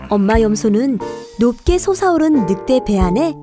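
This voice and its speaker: A woman's voice: a little high, sing-song, moderate pace, over music. A woman narrating a scripted children's fairy tale in a careful storytelling manner.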